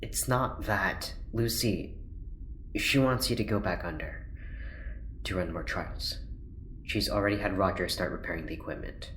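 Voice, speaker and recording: An actor playing an earnest, worried scientist doctor in an audio drama, with a careful, clinical manner. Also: male voice, low voice, unhurried, long pauses, fairly bright tone. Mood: apologetic. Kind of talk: naturalistic audio drama acting. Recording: roomy, echoing, faint background noise.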